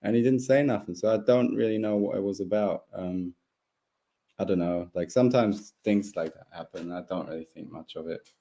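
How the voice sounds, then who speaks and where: A young man whose first language is German, with a low, dark, mellow voice. He rambles through a relaxed live chat, restarting sentences and leaning on fillers. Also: brisk. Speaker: guest speedway rider. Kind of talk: casual live interview.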